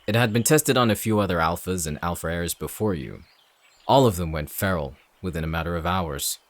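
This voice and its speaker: An actor playing a cold, calculating villain in an audio drama, speaking in a measured, smug, menacing way. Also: male voice, deep voice, no echo. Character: cold, calculating male villain leading an anti-shifter operation. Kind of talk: dramatic audio roleplay acting.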